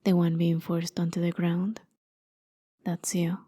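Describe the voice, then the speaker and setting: A husky, breathy immortal warrior woman, acted by a female performer, speaks low and unhurried in an intimate ASMR roleplay. She is haughty and world-weary, telling her story with sardonic ease and often sinking toward a whisper. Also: level tone. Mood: wry, reminiscing. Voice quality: soft, breathy, often dropping to a near whisper. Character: immortal warrior demigoddess, haughty, jaded, wry captor. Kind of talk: ASMR roleplay, dramatic monologue.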